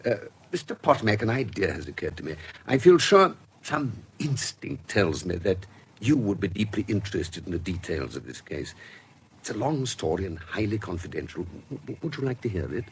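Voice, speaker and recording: An actor with a British accent playing an urbane, shrewd medico-legal investigator in a radio drama, speaking with courteous, measured formality. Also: male voice, fairly low pitch, sing-song, a little hiss or hum. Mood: smooth, purposeful. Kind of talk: period radio drama acting.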